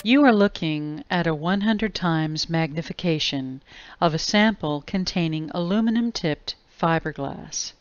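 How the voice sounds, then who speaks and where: A woman delivering scripted explanatory narration in a grave, deliberate manner. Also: deep voice, a little hiss or hum. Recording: dull lo-fi close dry voice-over.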